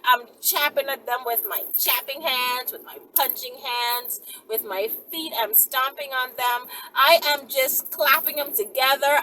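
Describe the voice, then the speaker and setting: A woman's voice: on the high side, pushed and bright. A woman hosting a casual question-and-answer vlog, talking emphatically into her phone from inside a car, often repeating her words as she goes. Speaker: channel host answering viewer questions. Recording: distant phone mic with faint background noise.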